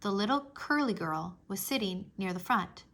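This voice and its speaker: Bright-voiced woman reading a storybook. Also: mid-range voice, animated, normal speed. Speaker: read-aloud narrator for children. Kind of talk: expressive scripted storybook narration.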